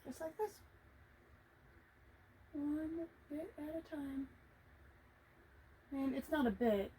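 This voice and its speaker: A woman vlogger talking casually to herself as she paints, reflective, halting and encouraging, with a naturally quiet voice that she tries to project. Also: high voice, a little hiss or hum, poor audio. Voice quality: normally quiet voice, making an effort to project. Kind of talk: casual self-talk while painting. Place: hot room indoors.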